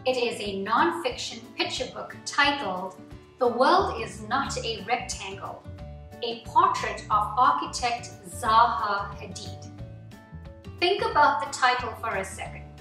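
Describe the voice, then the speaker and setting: A woman reading a nonfiction picture book aloud to children in an expressive, deliberate storytelling way, phrase by phrase. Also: a little high. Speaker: children's story-time reader. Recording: echoey room, distant mic, music underneath.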